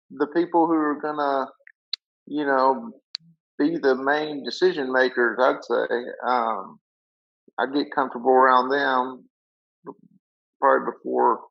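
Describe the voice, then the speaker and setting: A man with a creaky, gravelly voice gives informal advice over a muffled call. He is easygoing and encouraging, now and then repeating a sound in a slight stutter. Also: mid-range voice. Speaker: panelist giving advice. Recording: dull lo-fi online call audio.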